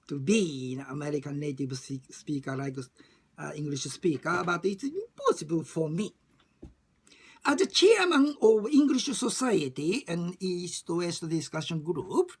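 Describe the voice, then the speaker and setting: A woman with a Japanese accent speaking non-native English in a halting, emphatic way, repeating phrases as in an informal personal talk. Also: on the low side, breathy edge. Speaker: discussion group chair and facilitator. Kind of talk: informal monologue about personal experience.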